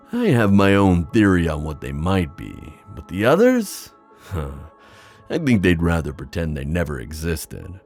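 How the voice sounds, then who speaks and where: A man playing a wistful, theatrical sea god in an ASMR fantasy roleplay, musing with hesitant pauses and drawn-out phrasing. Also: low-pitched, a little mellow, heavily acted.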